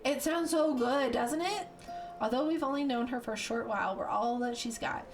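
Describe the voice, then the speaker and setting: A woman reading as a caring, smooth-talking young male rogue in a reassuring way. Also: on the high side, moderate pace, close to an everyday voice. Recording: game music behind voice.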